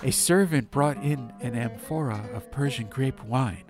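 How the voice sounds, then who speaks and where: Man narrating over ambient music. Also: medium pitch. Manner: measured, dramatic. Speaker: audiobook narrator. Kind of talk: atmospheric audiobook narration. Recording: music behind.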